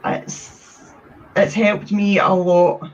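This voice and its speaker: Unhurried woman on a distant call. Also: mid-range voice, little pitch movement. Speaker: guest. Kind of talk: casual interview chat. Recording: distant, dull video-call audio.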